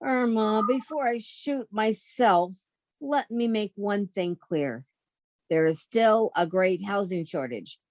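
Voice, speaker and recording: A woman's voice: mid-range voice, takes it slowly, a little mellow, no room sound. A woman acting in an old-time radio comedy as a sensible, theatrically exasperated young working woman with a wry manner.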